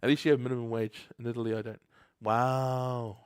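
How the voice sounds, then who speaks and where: A man's voice: mid-range voice, flat delivery, brisk, clear, resonant tone, no echo, clean studio sound. Chatty New guy coding live. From New Zealand. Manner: chatty, laughs often, reads chat aloud. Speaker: livestream host. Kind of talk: casual live coding stream.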